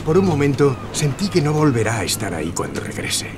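An actor playing a courteous, flattering movie magnate in an audio drama, formal and a little hesitant. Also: male voice, mid-range voice, fairly quick, noisy background, close to an everyday voice. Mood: impressed, wistful.

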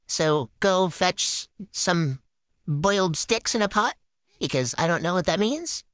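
A woman's voice: fairly low pitch, animated, unhurried, smooth, dry recording. A woman voicing a bratty, ditzy female gymnast in a silly game roleplay, with a boastful valley-girl drawl, on a dry close mic. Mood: bossy. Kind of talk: improvised game roleplay voiceover.

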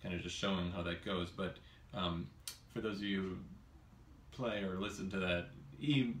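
Low-voiced man teaching xylophone in a kitchen. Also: fairly even intonation, moderate pace, slight background noise. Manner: musing, thinks aloud with hesitant fillers. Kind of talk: casual demonstration lesson. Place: home kitchen, indoors. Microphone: camera across the room. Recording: roomy kitchen sound from a distance. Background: xylophone playing.

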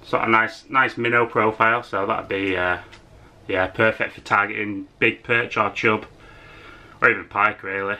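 A man's voice: on the low side, fairly even intonation, normal speed, bright, forceful tone. A young man in his twenties chatting casually and enthusiastically while unboxing fishing lures at home, talking straight to a camera on his desk. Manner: easygoing, enthusiastic, chatty with filler words. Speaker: fishing vlogger. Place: small room indoors at home.

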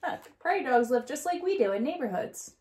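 A woman in her thirties with a fairly high voice reads a children's picture book aloud as a teacher would. She speaks straight to her young listeners, adding asides and checking in with short questions. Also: very animated, fairly quick, mellow. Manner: addresses young listeners directly with questions and tag "right?". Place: small indoor room at home or classroom corner.

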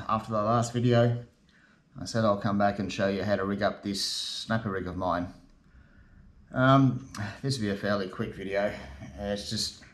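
A middle-aged man with an Australian accent, chatting casually as he shows how to bait a fishing rig, recorded by a camera at his table in a garage workshop. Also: fairly low pitch. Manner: relaxed, chatty, with fillers and matey asides. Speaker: fishing channel host. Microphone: camera in front at desk distance.

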